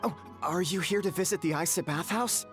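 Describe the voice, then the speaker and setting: A man's voice: sits high, fairly quick, close to an everyday voice. A man voicing an eager, apologetic young bathhouse owner in a video game, with a hesitant, polite manner. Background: music.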